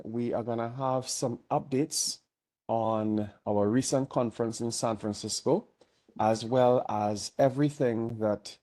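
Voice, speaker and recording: A man's voice: medium pitch, warm tone. A man chairing an online meeting, a little nasal from a cold, easygoing and chatty while keeping his diction careful.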